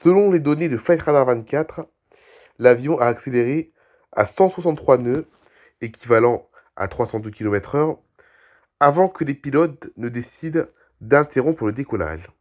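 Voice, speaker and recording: A man with a cold reads aviation news aloud, clearing his throat and correcting himself as he goes. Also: mid-range voice, expressive, normal speed, lo-fi sound. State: has a cold, coughing. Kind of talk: reading aviation news with commentary.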